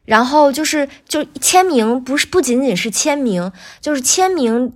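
A woman's voice: high voice, animated, moderate pace. A woman talking as a guest voice actor in a casual podcast chat, with a tentative, self-qualifying way of speaking that often repeats phrases.

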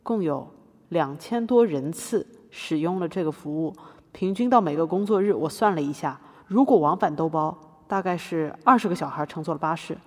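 A woman's voice: deep voice, lively intonation, moderate pace, darkish tone, breathy edge. A woman playing an earnest, guilt-ridden, defiant activist artist arguing her own defence in a podcast courtroom drama. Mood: earnest, regretful. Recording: hall-like long reverb.

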